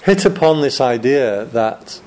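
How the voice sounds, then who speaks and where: A man's voice: mid-range voice, lively intonation, a little slow, darkish tone, poor audio. A man, a Buddhist monk, giving a chatty Dharma talk to a room of students, joking and laughing often.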